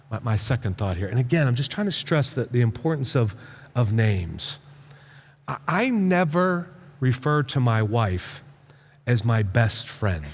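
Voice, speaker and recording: Animated male preacher in a reverberant hall. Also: mid-range voice. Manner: informal, halting, repeats words for emphasis. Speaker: pastor preaching. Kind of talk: conversational sermon to a congregation.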